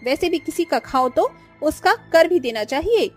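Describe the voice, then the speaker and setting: Haughty young housewife, acted by a woman, high theatrical voice, sharp tongue, cartoon family drama, music underneath. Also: expressive, moderate pace. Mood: bossy, scornful. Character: arrogant, sharp-tongued young daughter-in-law taunting relatives.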